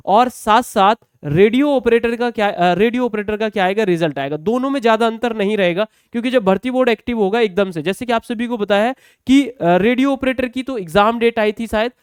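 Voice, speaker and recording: A man's voice: high-pitched, clear, resonant tone, dry recording, studio quality. A man explaining exam news to students as a coaching teacher, conversational and often checking in with short tag questions.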